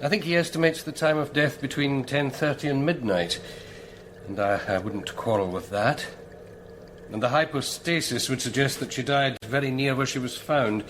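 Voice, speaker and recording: An actor playing a wry senior Scottish forensic pathologist in a radio drama, speaking in a measured, clinical way. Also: male voice, mid-range voice, a bit bright, natural voice. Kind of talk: naturalistic radio drama acting. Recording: clearly noisy background.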